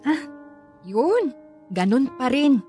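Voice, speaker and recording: An actress playing a caring, reassuring middle-aged Filipino aunt in a radio drama. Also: female voice, high voice, sing-song, slow pace, mellow, faint music behind, full character voice. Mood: concerned.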